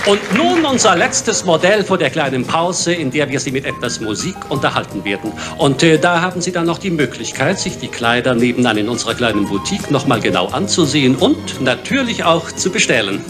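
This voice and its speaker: An actor playing a middle-aged fashion show presenter, giving smooth, boastful, emphatic sales patter into a handheld microphone. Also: male voice, a little high, big pitch swings, brisk, few pauses, crowd noise. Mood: smooth selling. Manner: showy, emphatic sales delivery. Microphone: handheld microphone on stage. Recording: music under the voice.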